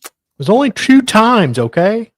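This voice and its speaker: A man's voice: sits high, sing-song, unhurried, dry recording. A man co-hosting a show in casual, conversational chat. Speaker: co-host.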